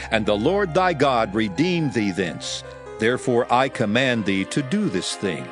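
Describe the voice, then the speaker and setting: A man playing a solemn prophet and lawgiver, declaiming commandments in archaic phrasing for a dramatized audio Bible. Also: medium pitch. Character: Moses, solemn male lawgiver addressing his people. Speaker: scripture reader in an audio Bible. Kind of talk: dramatized scripture reading. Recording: music under the voice. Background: music.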